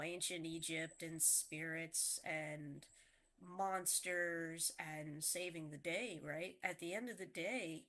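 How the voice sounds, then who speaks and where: An adult woman speaks in a casual, heartfelt monologue, her voice a touch low and nasal from a recent cold. She rambles earnestly, circling back and restarting her sentences as she goes. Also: a bit bright. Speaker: vlogger.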